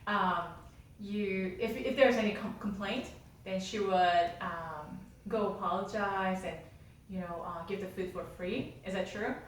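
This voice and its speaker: A woman's voice: medium pitch, unhurried, slight background noise. A woman lecturer leading a classroom discussion, encouraging students and asking follow-up questions. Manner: encouraging, asks follow-up questions, laughs lightly. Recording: echoey classroom from far off.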